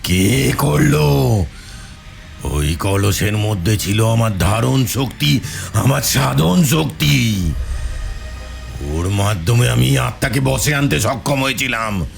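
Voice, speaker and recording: কর্কশ, ভয়ংকর তান্ত্রিক. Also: male voice, medium pitch, lively intonation, slow, creaky, exaggerated voice. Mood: furious, threatening. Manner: incantatory, bellowing, menacing. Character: enraged evil tantric occultist chanting and threatening. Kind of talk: audio horror drama acting. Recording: music under the voice.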